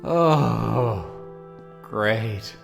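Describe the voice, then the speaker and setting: A woman playing an excitable, flustered but plucky young technician in a fan audio drama. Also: a bass voice, extremely slow, gravelly, over loud music, heavily acted. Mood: dry, relaxed.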